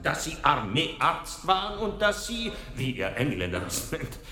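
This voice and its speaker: A self-assured Viennese doctor lecturing in a German radio drama. His voice is bright and very animated, courteous and wryly emphatic, ringing through an echoey hall. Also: fairly high pitch, measured pace, full character voice. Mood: eager, confident. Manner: courteous, theatrical, emphatic lecturing with repeated phrases.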